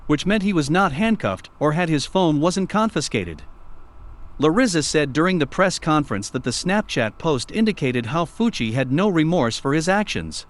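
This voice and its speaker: A man narrates a news story from a script. His voice sits a little higher than most men's, and he delivers it as a prepared report. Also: expressive, normal speed.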